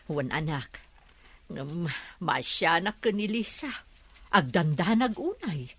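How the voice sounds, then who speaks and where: A middle-aged woman with an Ilocano accent playing a gentle, worried village mother. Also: fairly low pitch, big pitch swings, takes it slowly, husky, no echo, full character voice. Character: anxious village mother of a missing son.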